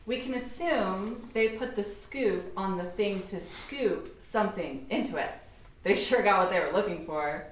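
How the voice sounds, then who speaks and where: A woman acts a sardonic, impatient female scientist in a radio play. Her mid-pitched voice is blunt and dry in wit. It is picked up from a distance in a heavily echoing room. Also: a little slow, a little hiss or hum, lightly acted. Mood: impatient, sarcastic. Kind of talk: amateur radio play acting. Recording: very echoey room, distant, faint music.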